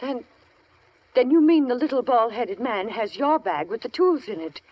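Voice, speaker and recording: An old, muffled radio recording of a long-married American wife. She is a woman with a high, lively voice, sharp, suspicious and scolding, with no patience for nonsense. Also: normal speed. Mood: firm, disapproving. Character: sharp, sensible, suspicious wife of many years. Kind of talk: old-time radio drama acting.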